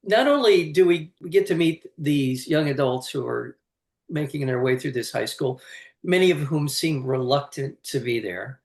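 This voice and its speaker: A woman's voice: a bass voice, expressive, normal speed, a little mellow. A woman hosting a podcast interview in a chatty way, thinking aloud as she asks, with a roomy echo on her voice. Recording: roomy, echoing voice.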